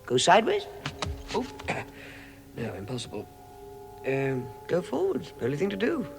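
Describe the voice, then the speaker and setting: Fretful, genteel little hobbit: flustered, exclaiming, higher-pitched, old-fashioned radio drama delivery. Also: male voice, huge pitch swings, unhurried, gravelly, soft background music, full character voice. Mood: nervous deliberation. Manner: fussy, flustered, stammering, exclaiming. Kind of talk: vintage radio drama acting, first-person recollection.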